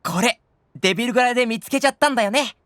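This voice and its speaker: A man's voice: extremely high pitch, fast talker, noisy background. A man playing a nonchalant, teasing young demon brother in an anime-style audio drama, offhand and bluntly matter-of-fact. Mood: sly, satisfied.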